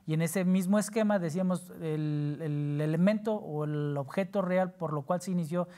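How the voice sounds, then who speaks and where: Low, mellow-voiced Mexican woman in discussion. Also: moderate pace. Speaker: talk show panelist on tax matters. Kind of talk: explanatory talk show discussion.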